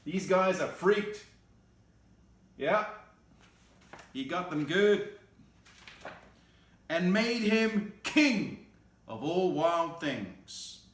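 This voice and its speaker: A man's voice: sits high, lively intonation, extremely slow, pauses often, roomy sound. A man reading a picture book aloud expressively, with playful, casual asides and exclamations as he goes. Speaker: storyteller.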